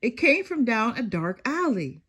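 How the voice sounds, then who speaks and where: A woman narrates a children's story aloud. Her voice is fairly high and swings widely in pitch. She reads slowly and deliberately, with plenty of expression. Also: very animated, a bit bright, slightly rough. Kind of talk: animated read-aloud narration.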